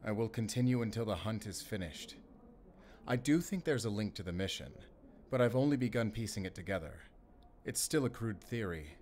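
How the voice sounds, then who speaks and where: Man playing a low-voiced, composed German wolf hunter. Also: lively intonation, fairly quick, a little mellow, slight background noise, natural voice. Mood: firm, guarded. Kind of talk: cinematic audio roleplay acting, speaking to the listener.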